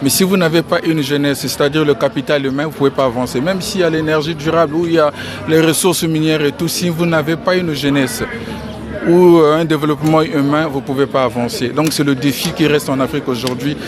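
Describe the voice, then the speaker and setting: Measured Congolese man, crowd chatter behind. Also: on the high side, steady tone. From Republic of the Congo. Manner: measured, repeats key phrases. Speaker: interviewee, financial engineering expert. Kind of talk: outdoor press interview.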